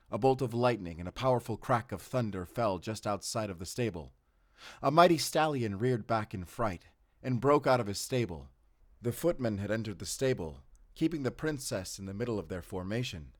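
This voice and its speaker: A man acting a low-voiced, theatrical fairy-tale teller. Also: dry recording, clean studio sound. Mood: dramatic. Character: man telling a fairy tale, fond and foreboding. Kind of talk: dramatic storytelling in a cinematic audio roleplay.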